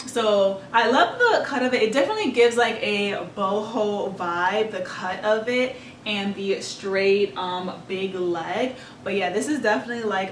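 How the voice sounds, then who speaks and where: Chatty young woman in an echoey room. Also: mid-range voice. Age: young adult. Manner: chatty, upbeat, fillers like "like" and "um". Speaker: fashion vlogger. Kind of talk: casual clothing haul review. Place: bright room with clothing rack, indoors. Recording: roomy, slightly distant, faint room noise.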